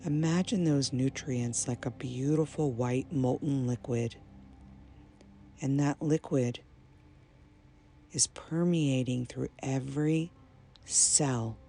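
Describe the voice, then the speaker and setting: A woman with a low-key, creaky voice leads a guided meditation over ambient music. Her delivery is extremely slow and soothing. She measures out short phrases with pauses between them. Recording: soft binaural music bed. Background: ambient binaural meditation music.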